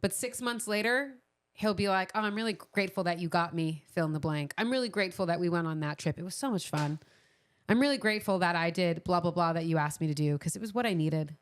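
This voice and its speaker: A woman's voice: fairly low pitch, quick pace. A woman co-hosting a podcast, chatting casually in a playful, teasing way. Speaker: podcast co-host. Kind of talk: casual banter.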